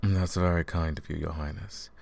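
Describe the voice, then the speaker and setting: A scheming royal advisor played by a man in an intimate fantasy audio drama. His voice is very low, with a gravelly creak in it. He addresses the listener with courtly, theatrical politeness, smooth-tongued and condescending beneath the show of sympathy. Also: fairly even intonation, moderate pace, a little mellow. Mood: soothing, patronizing.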